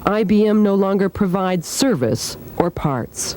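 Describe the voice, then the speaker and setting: A woman investigative TV reporter narrating a news story in a measured, pointed way. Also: mid-range voice, animated, mellow, slight background noise.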